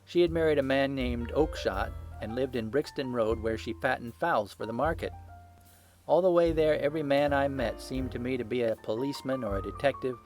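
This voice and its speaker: A man's voice: a little mellow, natural voice. A man voicing a small, frightened, cringing thief in an audiobook, with a strained, crackling, pleading delivery. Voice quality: crackling, strained, high-pitched. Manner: pleading, servile. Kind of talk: audiobook character acting.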